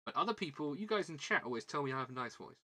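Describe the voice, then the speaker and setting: A man's voice: on the high side, animated, very fast, sharp tone. A man with a southern British English accent chatting casually as a livestream host, rambling and self-deprecating, often repeating his words. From southern England. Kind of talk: casual livestream chat while building a model kit.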